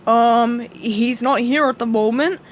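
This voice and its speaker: A woman voicing a dim, good-natured male starfish who talks loudly and slow-wittedly. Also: a little high, dull audio, a little hiss or hum. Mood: fibbing.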